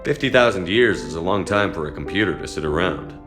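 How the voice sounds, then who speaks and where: A man playing a steady, loyal soldier in command in a sci-fi video game, terse and calmly assured. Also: deep voice, a little fast, over music. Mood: calm, friendly. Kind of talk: dramatic video game acting.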